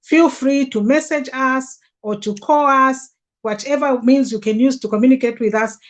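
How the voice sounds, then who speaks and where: A woman's voice: high voice, moderate pace. A woman hosting a conversational livestream, speaking in an earnest, effusive way as she promotes products. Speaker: host promoting health products.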